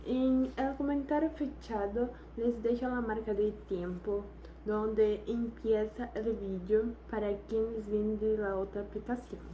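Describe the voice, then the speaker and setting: Woman host, Spanish, hesitant, slow, warm slightly high voice, faint background noise. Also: fairly even intonation, muffled recording. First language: not Spanish. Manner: hesitant, apologetic, searching for words. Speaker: channel host. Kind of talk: casual channel intro.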